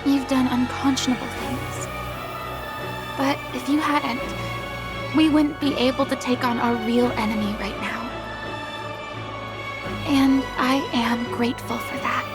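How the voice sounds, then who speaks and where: A woman's voice: high voice, steady tone, measured pace, long pauses, over loud music. An actress playing an earnest, composed young female defender in a video game cutscene, speaking seriously and frankly. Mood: sincere, restrained.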